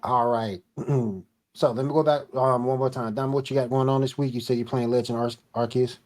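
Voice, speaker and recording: Man, mid pitch, quick friendly host talk. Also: steady tone, darkish tone. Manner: friendly, hands over turns. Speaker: podcast host. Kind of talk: casual chat.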